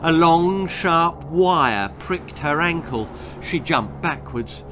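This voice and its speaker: Slow, theatrical older male storyteller. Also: fairly high pitch, animated, background noise. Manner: theatrical, deliberate, suspenseful. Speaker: author reading his own story. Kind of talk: dramatic children's horror-comedy storytelling. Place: book-lined room indoors. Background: music.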